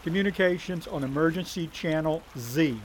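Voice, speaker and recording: Lead agent, 1930s crime serial male actor: fairly high male voice, brisk and authoritative, faint noise underneath. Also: close to an everyday voice, poor audio. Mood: commanding. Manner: military-style clipped orders. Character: commanding, brisk 1930s federal agent team leader. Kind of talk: 1930s thriller audio drama acting.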